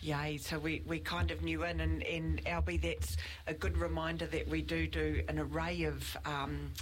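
A woman's voice: low voice, little pitch movement, normal speed, slight background noise. A woman with a New Zealand accent hosting a community radio podcast, talking casually and enthusiastically with many fillers. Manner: warm, enthusiastic, with frequent fillers. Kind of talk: casual chat.

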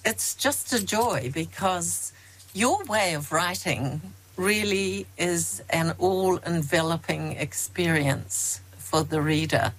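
A woman hosting an interview, asking slow, thoughtful questions with trailing phrasing. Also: lowish voice, poor audio. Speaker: interview host.

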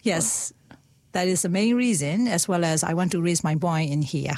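A middle-aged woman from Myanmar with a Burmese accent, talking in a halting way as a guest in a casual conversation, often repeating her words and laughing softly. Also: on the low side.

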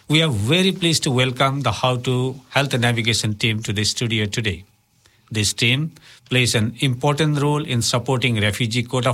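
A man's voice: mid-range voice, a bit bright. Man co-hosting a community radio talk. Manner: reads questions formally, slightly halting English. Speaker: radio show co-host. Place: radio studio.

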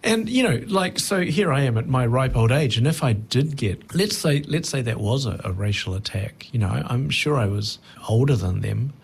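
A middle-aged man talking reflectively as an interview guest, thoughtful and halting, often rephrasing mid-sentence. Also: mid-range voice, lively intonation, vocal fry, slightly rough. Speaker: touring stand-up comedian, interview guest.

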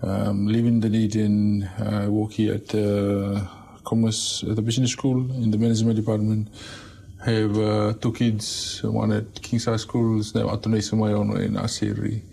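A man from Fiji with a Fijian accent, a guest on a radio show, talking in a relaxed, hesitant way with many fillers and restarts. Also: lowish voice, normal speed, creaky, breathy edge. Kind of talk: casual radio interview.

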